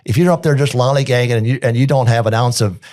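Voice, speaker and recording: A man's voice: mid-range voice, fast talker, a little mellow. A man reminiscing casually as a podcast guest, folksy and plainspoken.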